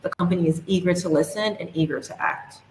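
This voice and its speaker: A young woman speaking as a guest in a podcast interview, earnest and measured. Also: lowish voice. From United States. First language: English. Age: young adult. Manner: earnest and measured, speaks in steady reflective phrases. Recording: echoey room with faint noise.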